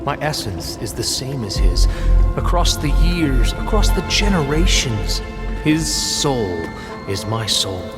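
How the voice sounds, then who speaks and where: A man voicing a zealous, pompous cult leader in a video game, making solemn proclamations over loud music. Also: medium pitch, sing-song, takes it slowly. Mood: exalted, solemn. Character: grandiose, zealous cult leader claiming a founder's soul. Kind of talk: dramatic video game villain acting.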